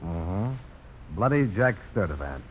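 An actor in a radio drama playing a bold, plain-spoken frontier gambler and planter with a steady, unhurried delivery. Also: male voice, low voice, heavily acted. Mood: confident. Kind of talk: 1950s radio drama acting. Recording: muffled, noisy old radio broadcast.

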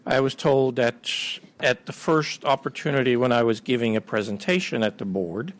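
Halting middle-aged man giving a lecture. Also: mid-range voice. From Ukraine, raised in Canada. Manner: halting, many filler pauses and restarts. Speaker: invited speaker. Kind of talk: conference lecture.

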